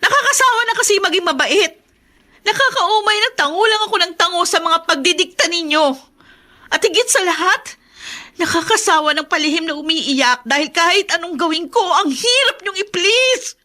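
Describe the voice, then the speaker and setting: High-voiced, defiant wife. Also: female voice, lively intonation, moderate pace, bright, forceful tone, exaggerated voice. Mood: fed up. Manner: emotional, indignant. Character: hurt, defiant young wife standing her ground. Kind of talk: Tagalog radio drama acting.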